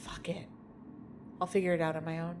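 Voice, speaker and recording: A woman's voice: fairly low pitch, flat delivery, a little fast, noisy background, close to an everyday voice. A woman playing an anxious, easily exasperated woman in an audio drama, fretful in manner. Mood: uneasy, resigned.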